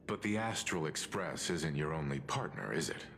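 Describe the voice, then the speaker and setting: A man's voice: rumbling low, animated, moderate pace, vocal fry, a bit bright, slight background noise, full character voice. A man voicing a serious, shrewd, probing male character in a video game. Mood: probing, skeptical.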